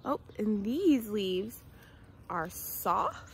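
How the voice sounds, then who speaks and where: Young lady doing a kids nature walk on her phone outside, slow and sing-song, bit of creak in her voice. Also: medium pitch, sing-song, slight background noise. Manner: sing-song, simple child-directed talk with questions. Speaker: early childhood teacher. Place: outdoors in a residential yard. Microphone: phone at arm's length.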